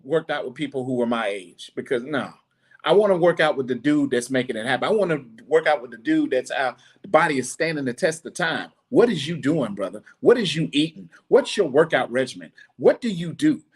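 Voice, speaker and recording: A middle-aged man hosting a podcast, giving a blunt, preachy monologue with animated asides. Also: on the high side, breathy edge. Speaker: podcast host. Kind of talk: casual monologue.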